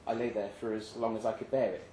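A man reading literary fiction aloud at a live event, measured and suspenseful, with deliberate pauses. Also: fairly low pitch, level tone, mellow. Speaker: story reader. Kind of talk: dramatic literary narration, read aloud live. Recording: roomy live venue, faint background noise.

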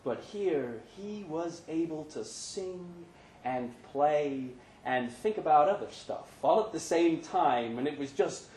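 A man's voice: on the high side, lively intonation, slow, darkish tone, slight background noise. A man narrating a short story dramatically at a live reading. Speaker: story reader. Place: indoor pub event. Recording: roomy live pub reading.

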